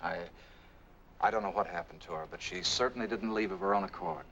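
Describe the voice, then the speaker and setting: A man's voice: sits low, brisk, fairly bright tone, slight background noise. An actor playing a lovestruck, defensive man in 1940s film style, speaking earnestly and insistently. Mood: defensive, curt. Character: lovestruck, defensive young man searching for his missing sweetheart. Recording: 1940s film soundtrack with music.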